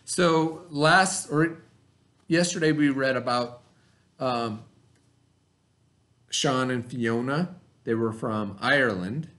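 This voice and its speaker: A man's voice: medium pitch, slow, long pauses, a bit bright. A man speaking as a teacher in a read-aloud lesson, explaining simply and posing questions that he answers himself.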